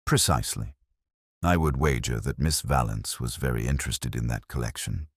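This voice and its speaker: A very deep-voiced, brilliant detective of Victorian times, played by a male narrator. He speaks formally and deliberately, gentle yet commanding, with a slightly husky edge. It is a dry, close audiobook delivery. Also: no room sound, heavily acted. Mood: keen, deducing. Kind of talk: audiobook character dialogue.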